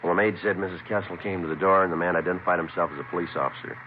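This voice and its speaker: An American man playing a terse, businesslike detective sergeant in a 1950s radio crime drama, deadpan and clipped, on a noisy vintage recording. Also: sits low, rapid-fire, phone-quality sound, close to an everyday voice. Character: terse, businesslike male police detective sergeant narrating his case.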